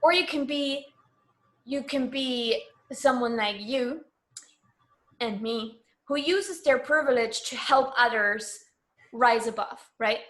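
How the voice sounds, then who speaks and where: A woman with a fairly high voice is being interviewed. She talks conversationally and earnestly, leaning on key words to press her point. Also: takes it slowly, fairly bright tone. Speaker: interview guest.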